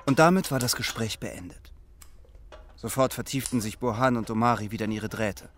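A man's voice: medium pitch, normal speed, fairly bright tone, a little hiss or hum, natural voice. A man playing a wry, laconic double agent who tells his story confidingly in a German radio crime drama.

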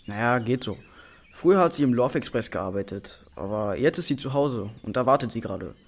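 Blunt teenage big brother. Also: male voice, mid-range voice, animated, a little fast. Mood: casual. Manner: casual, blunt. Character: teenage big brother, casual, teasing but caring. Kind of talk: naturalistic children's radio drama acting.

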